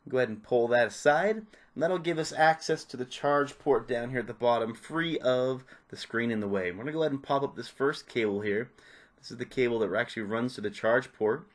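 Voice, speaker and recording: A man's voice: mid-range voice, brisk. A man presenting a repair tutorial, giving casual, informal step-by-step hands-on instructions. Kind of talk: casual hands-on how-to narration.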